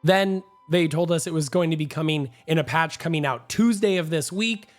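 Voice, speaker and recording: Hoarse, nasal man hosting a gaming show. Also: fairly high pitch, moderate pace, soft background music. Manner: upbeat, talkative, self-assured. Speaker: gaming channel host. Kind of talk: energetic gaming news commentary.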